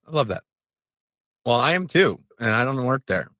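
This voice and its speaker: A man's voice: medium pitch, sing-song, moderate pace, pushed and bright, no room sound. A man hosting a podcast, asking easygoing, rambling questions. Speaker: podcast host. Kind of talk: casual interview chat.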